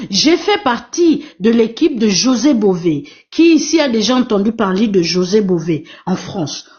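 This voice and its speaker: A high-voiced woman whose first language is Portuguese speaks on a live online panel, pressing her points with emphatic repetition. Her voice comes through dull, lo-fi stream audio. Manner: emphatic, repetitive, exhorting the audience. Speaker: live stream moderator and activist. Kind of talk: impassioned live panel talk.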